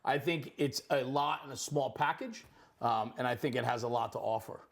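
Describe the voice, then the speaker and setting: A man's voice: mid-range voice, normal speed, creaky. A middle-aged man hosting a casual on-location interview in an effusive, encouraging manner. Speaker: interviewer, host. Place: firehouse kitchen.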